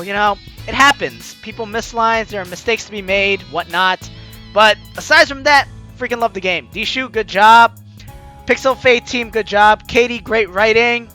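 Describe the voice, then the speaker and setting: A gaming host gives let's play commentary with a bright, forceful edge and very animated pitch. The delivery is expressive and effusive, full of exclamation. Light game music plays behind the voice. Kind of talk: let's play commentary, also reading on-screen text aloud.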